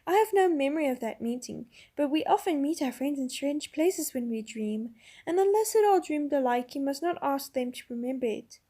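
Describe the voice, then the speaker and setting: A woman voicing an enchanting witch queen who speaks softly, slowly and coaxingly. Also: sits high, expressive. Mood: mocking. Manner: soft, slow, lulling.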